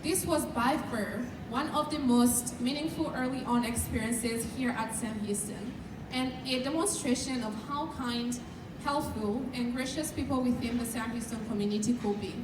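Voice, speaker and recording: Young Kenyan woman, student speaker, measured and earnest; distant mic in a noisy, echoey hall. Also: fairly high pitch. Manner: measured, earnest, sometimes shy. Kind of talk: prepared speech at a dinner. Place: large event hall.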